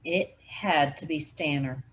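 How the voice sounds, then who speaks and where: A woman's voice: sits low, slow, breathy edge, heavily acted, low-quality recording. A woman reading aloud, voicing an old, mouthy, know-it-all local man who is stubbornly sure of himself. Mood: musing.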